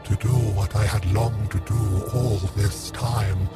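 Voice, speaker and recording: Man playing a slow, low, vengeful undead villain. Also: steady tone. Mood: cold, vengeful. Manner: deliberate, ominous. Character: grim, vengeful undead villain, deliberate and cold. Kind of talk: audio drama acting. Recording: loud music under the voice.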